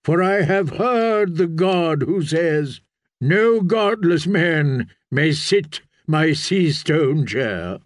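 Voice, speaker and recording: A man's voice: a little high, animated, extremely slow, no room sound, clean studio sound. A man playing a fervent, commanding prophet priest of a sea god in a fantasy audiobook, preaching in solemn, incantatory oratory. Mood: commanding, zealous. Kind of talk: dramatised fantasy audiobook reading.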